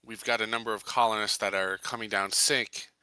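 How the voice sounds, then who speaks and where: A man playing a tabletop game, talking casually and improvising his character's words haltingly as he thinks aloud. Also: lowish voice, steady tone, sharp tone, smooth, dry recording, poor audio. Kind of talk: casual improvised roleplay chat.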